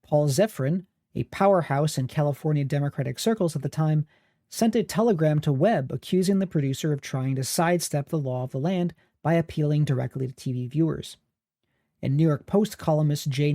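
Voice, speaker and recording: A man narrates a history podcast in a steady, formal voice, pitched a little high with a mellow tone. He is close to the mic in a dry, dead room. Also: dry recording. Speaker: podcast host.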